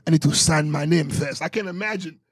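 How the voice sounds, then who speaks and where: A man from Miami co-hosting a podcast in casual group chat, animated and emphatic, musing out loud. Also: a little high, a little fast, crowd noise. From Miami, United States. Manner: animated, emphatic, muses aloud. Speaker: podcast co-host.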